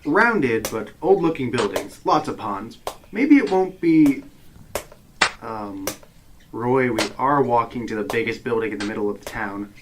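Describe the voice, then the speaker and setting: An actor playing the exasperated, sarcastic voice of reason in a hero's head in a comedic radio drama. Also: male voice, mid-range voice, animated, unhurried, close to an everyday voice. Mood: wry. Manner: sarcastic, wry, worrying. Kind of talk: comedic sci-fi radio drama narration and dialogue. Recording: clear background noise.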